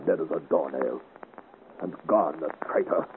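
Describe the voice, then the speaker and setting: An actor playing a gallant, bold young Breton adventurer in a radio serial, earnest and formally courteous. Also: male voice, very deep voice, moderate pace. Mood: grim satisfaction. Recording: noisy, narrow-band 1950 radio serial.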